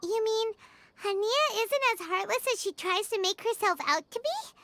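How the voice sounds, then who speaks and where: A woman's voice: extremely high pitch, animated, normal speed, sharp tone, heavily acted. A woman voicing a tiny, earnest fairy companion girl in an anime game, eager and childlike. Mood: curious, puzzled.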